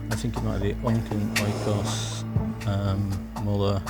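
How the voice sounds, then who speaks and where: A man's voice: on the low side, level tone, a little slow. A man competing in a quiz, thinking aloud as he confers with his team. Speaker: quiz contestant. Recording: loud music bed under speech.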